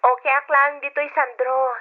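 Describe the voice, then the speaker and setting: Young ilocano woman played by an actress, high voice, reassuring, old radio drama kinda muffled. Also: level tone, normal speed, natural voice. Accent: Filipino, Ilocano. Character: young woman, dutiful daughter, soothing her boyfriend. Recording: narrow-band old radio drama sound.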